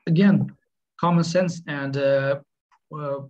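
A middle-aged man with a Swedish accent, presenting on a webinar in earnest, halting speech. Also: on the high side, fairly even intonation, a little mellow, highs cut off. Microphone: webcam on video call.

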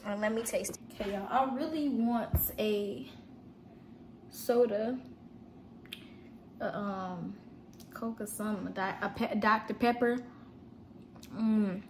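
A woman's voice: mid-range voice, slow pace, pauses often, background noise. A young woman chatting casually to viewers while she cooks in her home kitchen, filmed on a phone set on the counter. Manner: chatty, talks to viewers as y'all, chants while working. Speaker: vlogger. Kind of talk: casual cooking vlog chat.

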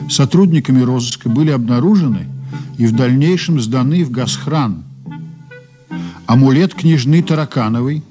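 Music plays clearly behind a man with a slightly high voice, an actor as a criminal investigator in a Soviet radio play. He is sober, restrained and formal, keeping to businesslike delivery. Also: mellow, lightly acted. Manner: restrained, matter-of-fact, formal. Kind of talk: Soviet radio drama acting.

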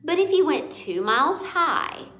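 A woman's voice: high voice, very animated, measured pace, sharp tone, voice pushed up, full character voice. A woman voicing an eager, inquisitive young boy mouse in a storybook reading. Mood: reasoning. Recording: echoey room.

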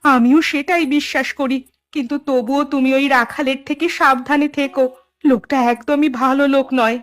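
A woman's voice: high voice, fairly even intonation, moderate pace, natural voice. A woman playing an anxious, caring fisherman's wife in a cartoon, with a worried, fretful manner. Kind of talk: Bengali cartoon dub.

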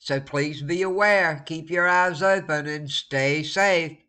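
A man's voice: on the high side, slow, bright, forceful tone, smooth. A man with a stuffed-up nose, giving a friendly, casual weather forecast over maps, repeating words for emphasis. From eastern North Carolina. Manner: friendly, repeats words for emphasis, warns listeners to stay safe. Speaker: weather vlogger.

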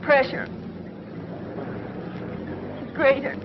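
An actress playing an endangered young space adventurer, speaking in halting, strained gasps in a 1950s TV sci-fi serial. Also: female voice. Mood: strained distress. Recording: dull, noisy 1950s TV soundtrack.

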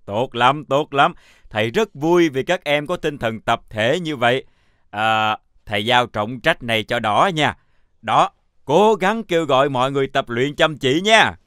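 A man voicing a kindly older male teacher in a children's cartoon, deliberate and encouraging. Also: medium pitch, lively intonation, a bit bright, clean tone, no echo, studio quality. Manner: deliberate, encouraging, speaks to pupils formally. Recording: music behind the voice.

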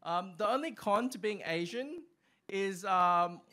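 Young guy doing open mic stand-up, kinda high voice, lots of ums and uhs, on a stand mic. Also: lively intonation, pushed and bright. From Australia. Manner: halting delivery with many ums and uhs. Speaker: stand-up comic. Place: small dark club stage. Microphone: stand mic, far camera.

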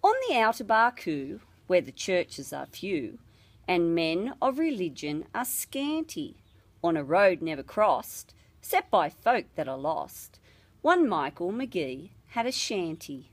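A woman reading a ballad expressively, with measured, dramatic storytelling. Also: lowish voice, very animated. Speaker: storybook reader.

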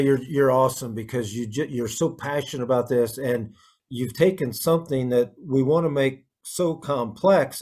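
A man's voice: a little high, darkish tone. A middle-aged man hosting a casual interview over a video call. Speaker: host, interviewer. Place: plain room, indoors.

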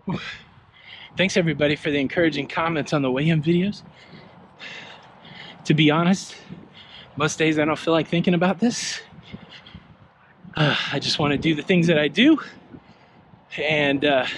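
A man's voice: a little high, a bit bright, rough recording. A middle-aged man hosting a casual walking vlog, chatting to viewers and joking at his own expense as he walks through an outdoor park. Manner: chatty, self-deprecating, asks viewers questions. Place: outdoor park in rain and wind. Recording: wind and outdoor noise on a body camera.

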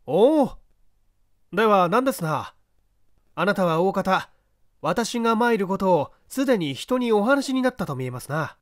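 A man voicing a self-possessed English gentleman detective with a terse, businesslike, probing manner in an audiobook. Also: high voice, sing-song, a little slow, pitched above the performer's own voice. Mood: probing, pointed. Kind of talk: audiobook character dialogue.

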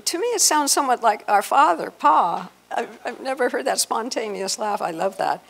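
A woman's voice: fairly high pitch, lively intonation, moderate pace, creaky, fairly bright tone. A mature woman giving an informal introduction to an audience on a handheld mic. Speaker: convention presenter. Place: hotel conference room. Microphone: handheld microphone.

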